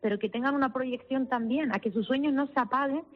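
A woman's voice: high voice, fairly quick, narrow, tinny audio. A woman lawyer with a Canarian accent tinged with Castilla-La Mancha, speaking earnestly as a radio guest, full of fillers and restarts. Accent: Canarian mixed with Castilla-La Mancha. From Canary Islands. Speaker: lawyer for minors and immigrants. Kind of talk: radio interview guest on the phone.

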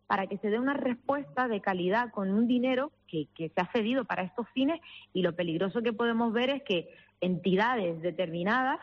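A woman's voice: mid-range voice, fairly quick. Woman, Canarian accent with a La Mancha touch, earnest, hesitant fillers, dull lo-fi sound. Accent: Canarian mixed with Castilla-La Mancha. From Canary Islands. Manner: earnest, many fillers and restarts. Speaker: lawyer for minors and immigrants. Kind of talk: radio interview guest on the phone.

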